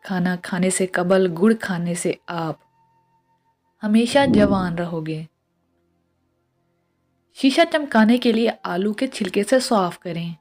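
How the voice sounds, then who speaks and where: A woman narrates short scripted tips at a markedly slow pace. Her voice sits at an average pitch for a woman, and her delivery is measured and instructional. Music plays behind the voice-over. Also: darkish tone. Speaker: narrator of household and health tips.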